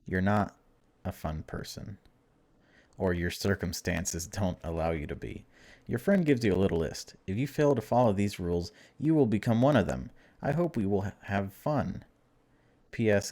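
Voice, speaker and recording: A man with a low voice plays through a game for viewers. He reads the text aloud and comments on it in an informal, chatty way, dropping wry remarks as he goes. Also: moderate pace, a little mellow. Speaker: video game streamer. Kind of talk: casual let's-play commentary mixed with reading game text aloud.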